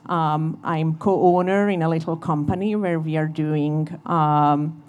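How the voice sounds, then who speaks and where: A woman speaking as a panelist and society director, halting in a panel discussion. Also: low-pitched, fairly even intonation, a little mellow, clear, resonant tone. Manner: halting, many fillers and restarts.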